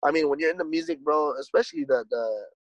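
A man's voice: fairly high pitch, quick pace, dry recording, dull audio. Laid-back swearing man, dry close mic. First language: English. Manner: laid-back, rambling, swears a lot, says "dude". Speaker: musician guest. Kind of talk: casual conversation.

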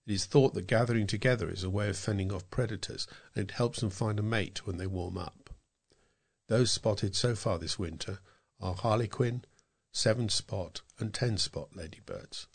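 A man's voice: lowish voice, normal speed, gravelly, husky. A man with a British English accent reading scripted local news and nature pieces aloud as a volunteer reader.

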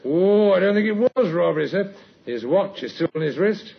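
An actor playing an eager, deferential police detective sergeant in an old radio drama, respectful and a little hesitant. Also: male voice, high voice, animated, moderate pace, creaky, slightly rough, heavily acted. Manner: respectful, hesitant, frequently says sir. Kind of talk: vintage radio drama acting. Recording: muffled vintage radio recording.

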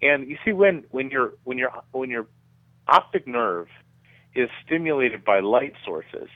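A middle-aged man giving measured, conversational explanations as a guest in a radio interview, often repeating words as he goes. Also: mid-range voice, animated, no room sound, phone-quality sound. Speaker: guest researcher being interviewed.

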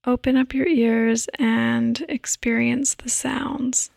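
A woman speaks very slowly and softly, guiding listeners through a sleep meditation. Her higher-pitched voice stays even and soothing, often slipping toward a breathy whisper with creaky edges. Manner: soothing, gentle, unhurried. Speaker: podcast host and meditation guide. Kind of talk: guided meditation, sleep podcast.

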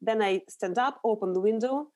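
A woman's voice: mid-range voice, moderate pace, dark tone. A middle-aged woman from Russia with a Russian accent, answering interview questions as a nutritional therapist in an earnest, explanatory way with small restarts.

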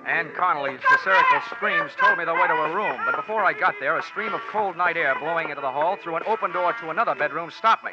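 An actor playing a hard-boiled, wisecracking investigator with an American accent, wry and deadpan in 1940s radio detective style. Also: male voice, a little high. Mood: tense, dramatic. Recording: muffled, hissy 1940s radio recording.

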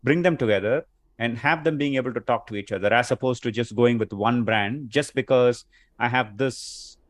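A man's voice: mid-range voice, moderate pace. A man with a mid-range voice shares his opinions in a loose tech chat. He fills his sentences with "like" and keeps checking in with "right?". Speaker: co-host. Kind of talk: casual tech discussion.

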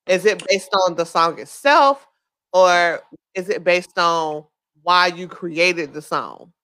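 A woman's voice: lowish voice, takes it slowly, pushed and bright. A woman in her thirties co-hosting an interview in casual slang, blunt, sassy and freely swearing. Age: 30s. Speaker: interview co-host.

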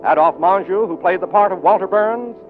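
A man's voice: sits high, a little fast. A man reading scripted copy as a formal, polished 1940s radio announcer. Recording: tinny 1940s radio broadcast, faint music.

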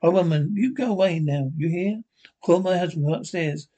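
A man voicing an irritable, suspicious small-town housewife in a brusque, scolding way, with a husky voice. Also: high-pitched, normal speed, warm tone. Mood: irritated.

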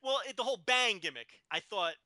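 A man in his thirties with a notably high, very bright and forceful voice, talking in a rambling, profane and enthusiastic way. The sound is dry and close to the mic, with no room echo. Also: big pitch swings, fast. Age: 30s. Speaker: podcast co-host. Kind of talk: casual wrestling podcast chat.

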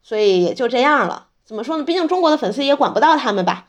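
High-pitched woman chatting as a podcast host. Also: lively intonation, fast. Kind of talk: casual explanatory chat.

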